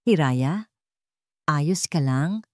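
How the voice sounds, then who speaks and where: A woman's voice: low-pitched, expressive, very slow, no room sound, clean studio sound, exaggerated voice. A Filipino woman voicing a protective, hot-tempered man in a story, intense and commanding. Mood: concerned.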